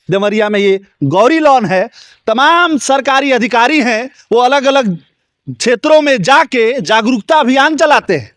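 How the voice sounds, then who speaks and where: Man, very high voice, indignant news reporter, dry close sound. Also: fairly bright tone, dry recording. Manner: urgent, indignant, questioning. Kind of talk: on-the-spot news report with interviews. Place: outdoors at a wedding lawn.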